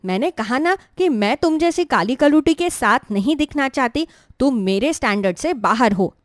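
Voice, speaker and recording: Woman voicing a haughty, snappish high-pitched college girl. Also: expressive, normal speed, no room sound, clean studio sound. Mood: scornful, haughty. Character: vain, rude young college girl, scornful younger sister.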